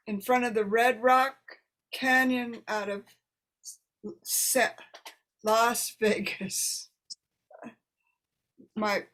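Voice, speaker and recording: A woman informally hosting a poetry reading and introducing poets in a halting manner. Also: mid-range voice, a bit bright. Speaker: host of a poetry reading event.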